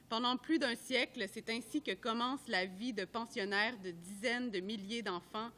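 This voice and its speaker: A woman reading a report aloud at a public event, in a measured, careful way that sometimes trips over names. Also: fairly high pitch, sharp tone, a little hiss or hum. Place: art gallery at a live event.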